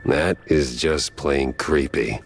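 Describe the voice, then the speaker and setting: An actor playing a world-weary, hard-boiled mouse private detective in a cartoon noir game, sarcastic and deadpan. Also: male voice, a bass voice, slow, soft background music, heavily acted. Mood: exasperated.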